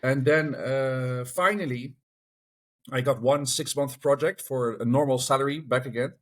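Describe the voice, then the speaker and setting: A man's voice: medium pitch, unhurried, fairly bright tone. A man talking casually over a video call as a podcast guest, telling his story and acting out the dialogue he quotes.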